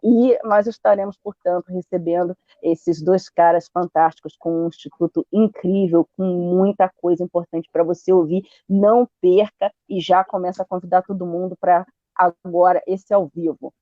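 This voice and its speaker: A woman's voice: fairly low pitch, fairly even intonation, normal speed, no room sound, lo-fi sound. A Brazilian woman hosting a live video chat, talking on with frequent fillers like "né" and "portanto". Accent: Brazilian Portuguese. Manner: repetitive, warm-up style, many fillers like "né" and "portanto". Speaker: podcast host.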